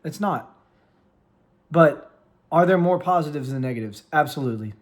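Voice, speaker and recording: An American man in his late twenties speaks in a slightly raised register, close to a microphone in his own room. His talk is earnest and meandering, full of restarts and trailing pauses, like an unscripted vlog. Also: a little high, lively intonation. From United States. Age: 20s. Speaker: vlogger and streamer. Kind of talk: casual reflective vlog. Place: room at home with lights, plants and a cat on his lap. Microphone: studio microphone.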